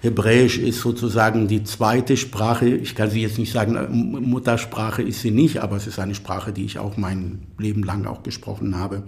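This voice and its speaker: A middle-aged man from Israel whose native language is Arabic, speaking as a podcast guest in a reflective, halting way as he searches for words. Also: on the low side, fairly even intonation, gravelly, darkish tone. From Israel, near Tel Aviv. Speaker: interview guest, composer.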